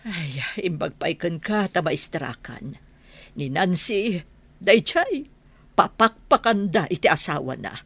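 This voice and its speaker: An actress plays a gossipy older mother in an Ilocano radio drama. Her voice is lowish and closely recorded, with plain-spoken village frankness. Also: sing-song, slow pace, fairly bright tone, husky, dry recording, exaggerated voice. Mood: motherly, disapproving.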